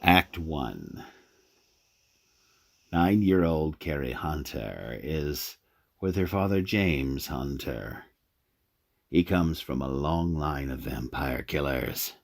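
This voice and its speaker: Super deep gravelly narrator guy, talks really slow, measured radio drama reading. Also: lively intonation.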